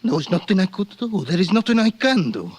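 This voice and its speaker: An actor playing a despairing old working father in 1940s radio drama, mournful, resigned and deliberate. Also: high voice, sing-song, a little mellow, husky, exaggerated voice. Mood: grieving, resigned. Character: despairing, weary old immigrant father, coal shoveler.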